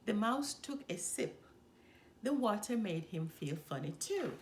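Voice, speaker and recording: Slow, animated woman reading a storybook. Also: medium pitch, slight background noise. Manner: animated, deliberate storybook delivery. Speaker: storyteller reading a children's book aloud. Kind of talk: expressive read-aloud narration.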